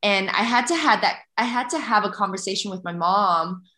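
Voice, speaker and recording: A woman's voice: mid-range voice, fairly quick, echoey room. A woman in her thirties, from Malaysia and raised in Canada, talking as a podcast guest in a chatty, open way with frequent restarts. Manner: chatty, warm laughs, many restarts. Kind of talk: conversational interview.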